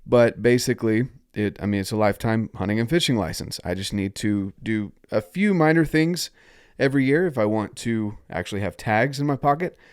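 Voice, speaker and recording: Man, podcast host, stuffed-up nose, low voice, dry close sound, encouraging. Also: brisk, smooth, no echo. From North Carolina. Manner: upbeat, encouraging, invites listeners to join. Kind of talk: solo podcast monologue.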